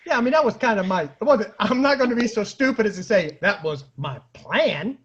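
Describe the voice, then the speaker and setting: A man telling casual comic stories in an animated way, acting out quotes and sound effects. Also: high voice, brisk, slightly rough, dull audio. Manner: acts out quotes and sound effects, bursts into exclamations. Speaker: storyteller and host of an online story series.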